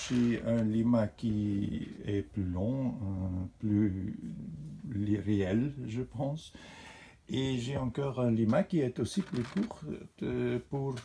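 A man for whom French is not his first language, hosting a model railway channel and talking in a casual, halting way with many fillers. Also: lowish voice, vocal fry, dark tone, a little hiss or hum. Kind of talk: casual hobby show-and-tell. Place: indoors beside a model railway layout.

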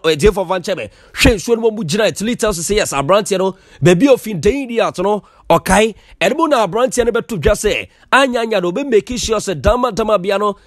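High-voiced Ghanaian sports newsman. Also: dry recording, clean studio sound. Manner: fast mixing of Twi and English. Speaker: sports news presenter. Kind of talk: sports news voice-over.

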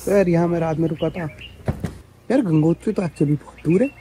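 A man's voice: a little high, brisk, mellow, a little hiss or hum. A man narrating his own travel vlog in a chatty, thinking-aloud way, mixing in English words. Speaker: travel vlogger. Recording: background music under the voice.

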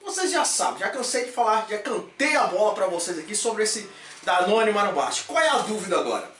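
A man's voice: high voice, sing-song, fairly quick, fairly bright tone, recorded from a distance. A man in his thirties hosts a casual fitness vlog in a small room, talking animatedly and tossing in jokey catchphrases. His voice sits back from the mic. The room rings with echo. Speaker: fitness supplement YouTuber. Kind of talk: energetic casual vlog chat. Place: small room with shelves of supplements.

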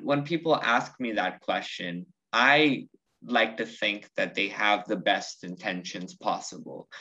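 A man's voice: lowish voice, a bit bright. A teenage boy with a slight Indian accent, telling a personal story on a video call, reflective and full of ums. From United States, raised partly in India. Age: teens. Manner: reflective, with many ums and restarts. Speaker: student guest. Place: bedroom at home. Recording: muffled video call audio.

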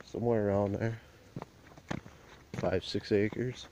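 A man's voice: on the low side, steady tone, takes it slowly, warm tone, slight background noise, rough recording. A man vlogging on a phone as he walks a burnt field outdoors, talking in a plain, rambling rural way. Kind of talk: casual walk-and-talk vlog. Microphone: handheld phone camera while walking.

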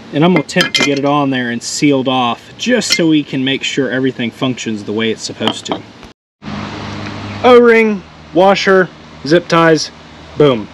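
A man with a fairly high voice talks through a garage car project in an easygoing, hands-on way. He explains parts as he fits them and laughs off his own slip-ups. Faint shop noise sits behind him. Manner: relaxed, self-deprecating, explains while working. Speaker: car build vlogger. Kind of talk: casual how-to walkthrough. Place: garage and inside a truck.

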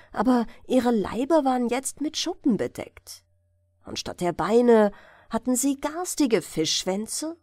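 A woman reads a fairy tale as an audiobook narrator. Her delivery is unhurried and very melodic, with an expressive storyteller's phrasing. The recording is dry and close. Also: mid-range voice, no room sound.

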